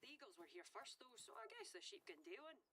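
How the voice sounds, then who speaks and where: High, lively Scottish countrywoman. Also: fast talker, a little hiss or hum, heavily acted. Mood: wry, easygoing. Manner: chatty, colloquial, wry. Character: breezy Scottish countrywoman giving out errands. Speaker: video game character. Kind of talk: game dialogue.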